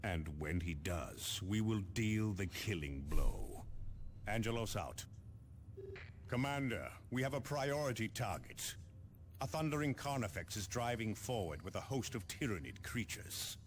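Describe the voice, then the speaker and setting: An actor playing a stern, resolute space marine captain, giving formal, measured briefings in a video game. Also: male voice, on the low side, lively intonation, slight background noise.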